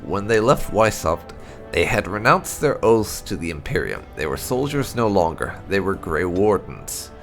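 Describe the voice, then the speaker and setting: A man reading fantasy lore aloud as dramatic narration, in a deliberate storyteller's delivery. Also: low-pitched, big pitch swings. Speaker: gameplay channel host. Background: music.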